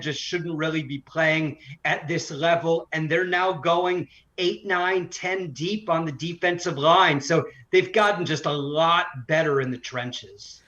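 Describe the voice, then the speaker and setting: A man's voice: fairly high pitch, pushed and bright. A man giving conversational sports analysis as an interview guest, a broadcaster who makes his points emphatically in runs. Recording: slightly dull, lo-fi audio.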